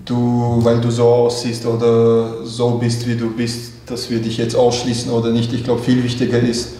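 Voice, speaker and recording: A man's voice: mid-range voice, monotone, moderate pace, mellow. A man on a discussion panel, answering a question in a reflective, reasoned way. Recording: very echoey hall, faint noise.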